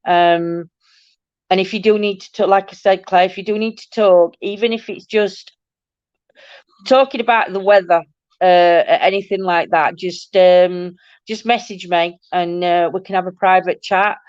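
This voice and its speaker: A middle-aged woman hosts a casual livestream. Her voice is croaky and a bit nasal, and she rambles affectionately to her viewers. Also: fairly low pitch, fairly even intonation, unhurried, no room sound, highs cut off. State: croaky, nasal from hay fever. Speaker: livestream host. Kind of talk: casual live-stream chat with viewers.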